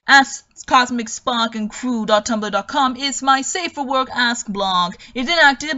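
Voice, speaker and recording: A woman's voice: a little high, a bit bright, lo-fi sound. A woman reading and commenting on a review in an emphatic, opinionated way. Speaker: host reading a character review aloud, then commenting.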